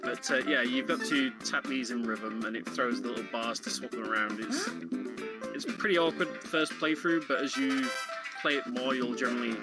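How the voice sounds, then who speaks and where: A man's voice: mid-range voice, moderate pace, low-quality recording. A man giving casual, explanatory live commentary on a video game, speaking informally like a speedrun commentator. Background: video game music.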